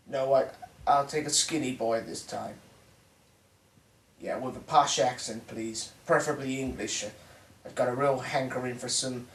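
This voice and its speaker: A man playing a profane, bullying spoiled son of a crime boss, in a dark comic drama. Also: a little high, close to an everyday voice. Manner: profane, aggressive, ranting. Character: foul-mouthed bullying spoiled heir of a crime boss father. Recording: roomy echo, distant microphone.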